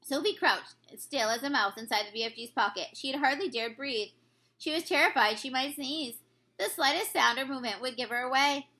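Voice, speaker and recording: A woman narrating a children's book aloud in an expressive storytelling style. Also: high voice, a little fast. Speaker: story reader.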